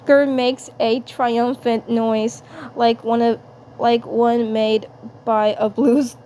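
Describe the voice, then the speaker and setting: A woman narrating a fan fiction script haltingly, often repeating words and phrases. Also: a little high, level tone, mellow. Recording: clear background noise.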